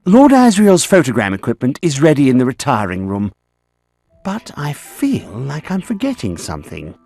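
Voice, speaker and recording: A man's voice: medium pitch, wildly swooping pitch, normal speed, gravelly, a little mellow. A man playing a forgetful, fretful servant in a video game, dithering as he talks. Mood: flustered. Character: flustered, forgetful male servant.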